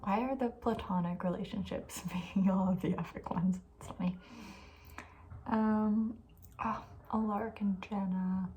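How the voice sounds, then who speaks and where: A woman talking softly and breathily in a casual, opinionated ASMR ramble, often slipping toward a whisper. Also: medium pitch, steady tone, measured pace, muffled recording. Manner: chatty, informal, opinionated, trailing off. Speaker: ASMR video host. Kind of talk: soft-spoken ASMR, casual rambling chat.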